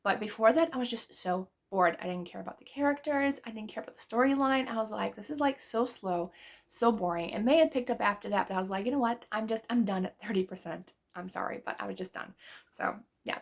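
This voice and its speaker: Chatty woman slowly losing her voice. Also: medium pitch, vocal fry. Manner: chatty, rambling, self-deprecating laughs. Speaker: book vlogger. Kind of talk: casual reading wrap-up chat.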